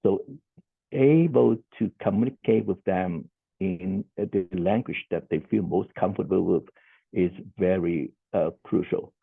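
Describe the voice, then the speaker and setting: A very deep-voiced man presents as a social worker over a video call, with a husky, gravelly tone. He talks in a measured, deliberate way and sums up each point with "okay". The sound is tinny and phone-like, close and dry, with no room echo. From Hong Kong. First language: Cantonese. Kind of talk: conference presentation.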